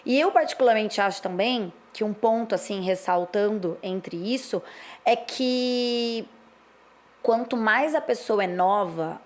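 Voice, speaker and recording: A Brazilian woman in her thirties talks in a relaxed, candid way, thinking aloud in long reflective stretches. Her voice sits at a middle pitch, and she co-hosts a casual chat. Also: a little slow. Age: 30s. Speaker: co-host.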